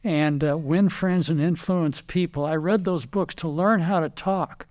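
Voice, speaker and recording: A man's voice: fairly high pitch, a little slow. Older man telling racing stories. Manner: animated anecdotes, quoting others, repeats words. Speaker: guest, retired racing driver. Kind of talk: casual storytelling interview.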